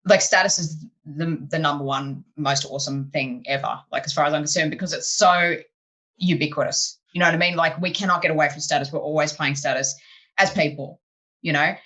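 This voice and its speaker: Bright-voiced woman, chatty host. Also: low voice, brisk. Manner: animated, chatty, many fillers like "you know". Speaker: podcast host and improv teacher. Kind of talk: casual interview chat.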